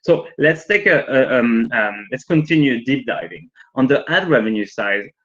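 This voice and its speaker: A man's voice: mid-range voice, animated, moderate pace, fairly bright tone. Non-native man presenting over a lo-fi call. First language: not English. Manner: chatty, frequently adds "right?". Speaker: workshop presenter. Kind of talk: live online training talk with demos. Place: working from home. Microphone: video call. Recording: lo-fi video call audio.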